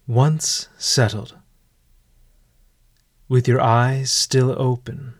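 A man narrating a guided meditation in a formal, measured, literary manner. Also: medium pitch, flat delivery, no room sound, studio quality.

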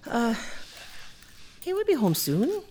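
A woman's voice: on the high side, wildly swooping pitch, takes it slowly, dark tone, a little hiss or hum, full character voice. A woman acting in an audio drama as an evasive, defensive young wife and mother.

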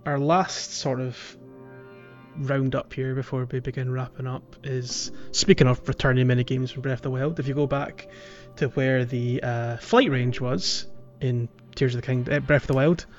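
A man hosts a casual gaming podcast, thinking aloud in a halting, conversational way. His voice sits at an average pitch. The audio is slightly muffled, with music clearly playing behind him. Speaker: podcast host. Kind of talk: casual video game walkthrough chat.

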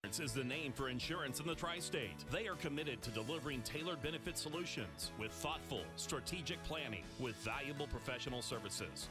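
A man reading a scripted local radio ad. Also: a little high.

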